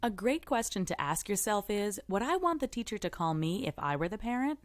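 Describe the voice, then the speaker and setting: A woman presenting scripted instructional narration, giving encouraging, clearly structured advice as a podcast presenter. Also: mid-range voice, very animated, fairly quick, dry recording.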